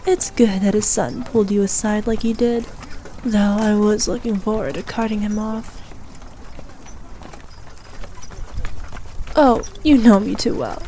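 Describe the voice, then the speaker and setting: A woman playing a haughty, ruthless evil queen who dotes on her favourite knight, speaking in a regal, imperious, measured manner for an ASMR roleplay. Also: mid-range voice, mellow, slight background noise, close to an everyday voice. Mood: scornful, wicked. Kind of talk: ASMR roleplay, scripted character acting.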